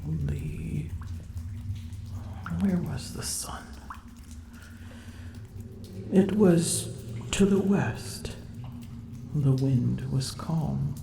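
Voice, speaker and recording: A drowsy, aloof she-dragon, played by a woman in an ASMR audio roleplay, her voice gravelly and often sinking to a whisper. She drawls each word at a very slow, unhurried pace. The sound is roomy, with loud drips behind. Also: sits low, background noise, heavily acted. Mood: musing. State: weary. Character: drowsy, aloof female dragon, vain and teasing.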